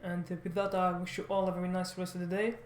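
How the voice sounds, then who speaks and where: A man talks off the cuff to his viewers. He speaks haltingly, circling back and restarting his phrases as he rambles. The recording has a clear room echo around his voice. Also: high voice, monotone, slight background noise. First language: French. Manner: halting, rambling, repeats words and corrects himself often. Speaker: vlogger, language learner. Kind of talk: casual unscripted monologue to viewers.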